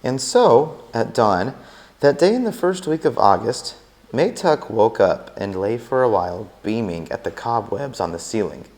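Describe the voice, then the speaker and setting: A man narrating a chapter of a novel aloud in an expressive, unhurried read-aloud style. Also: mid-range voice. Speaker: teacher reading a novel aloud.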